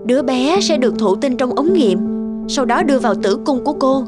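A woman's voice: high-pitched, expressive, a little fast. A woman playing a self-absorbed, persuasive actress wife in a cartoon, breezy and insistent. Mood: breezy, businesslike. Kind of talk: Vietnamese cartoon voice acting. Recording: music behind the voice.

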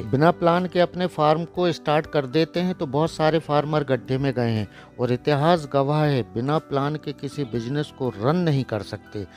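A man hosting a poultry farming channel, narrating a voice-over with blunt, lecturing and sarcastic remarks about careless buyers. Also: fairly high pitch. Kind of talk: voice-over narration for a farm video. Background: soft background music.

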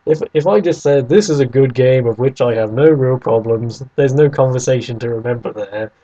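A man's voice: mid-range voice, a little fast, warm tone, muffled recording. A man chatting casually as a podcast co-host, bantering and arguing his point. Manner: bantering, argumentative. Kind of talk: casual debating chat.

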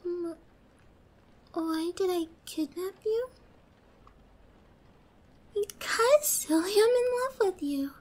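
Very high-pitched, girlish yandere girlfriend. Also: lively intonation, darkish tone, full character voice. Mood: coy, gentle. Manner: slow, coy, drawn-out, slipping into whispers. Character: obsessed, possessive yandere girlfriend, sweet and menacing, young. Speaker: ASMR roleplay performer. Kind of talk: ASMR roleplay acting.

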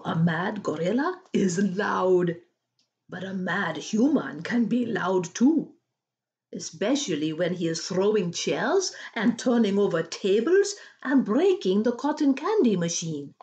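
Slow-paced woman reading a storybook aloud. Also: medium pitch, a little mellow, lo-fi sound. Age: middle-aged. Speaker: storybook reader. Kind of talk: expressive read-aloud of a children's novel. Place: small home room.

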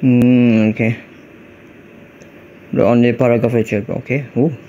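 A man's voice: medium pitch, flat delivery, a little slow, mellow, muffled recording, a little hiss or hum. An Indonesian man with an Indonesian accent doing casual, reactive gameplay commentary, mixing slang with exclamations. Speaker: gaming YouTuber.

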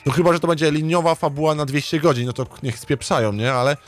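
A man's voice: fairly high pitch, fast. A Polish man giving casual, rambling game commentary and chatting with viewers in blunt, sweary language. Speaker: gaming streamer playing a visual novel. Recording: game music behind the voice.

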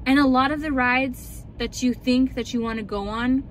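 A woman's voice: sits high, steady tone, moderate pace, slight background noise. Chatty young woman venting in a car. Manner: chatty, ranting, many filler likes and ums. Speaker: vlogger. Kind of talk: casual chat.

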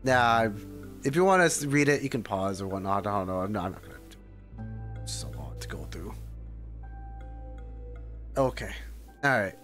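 A man's voice: on the low side, lively intonation, moderate pace, long pauses, a bit bright. A man giving casual live commentary on a game, muttering offhand asides and skimming text aloud. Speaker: streamer reacting to a game story. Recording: faint game music behind. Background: video game music.

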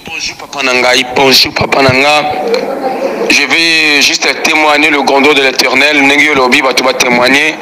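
A man calling in to give a testimony, talking haltingly with many fillers. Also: medium pitch, level tone, sharp tone, rough recording. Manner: hesitant, with fillers. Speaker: caller giving testimony. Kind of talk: phone testimony.

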